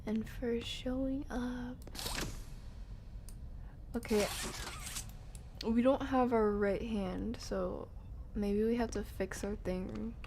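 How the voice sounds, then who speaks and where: A woman's voice: a little high, fairly even intonation, slow, vocal fry, long pauses, noisy background. A woman streamer giving casual live gameplay commentary, chatting to herself and her viewers in slangy asides. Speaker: video game streamer.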